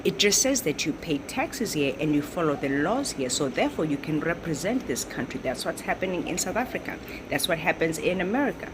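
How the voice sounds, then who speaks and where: A woman's voice: sits low, big pitch swings, a little fast, few pauses, noisy background. A woman giving commentary in a lecturing way, repeating her points and checking in with "right?". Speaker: social commentator. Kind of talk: explanatory commentary.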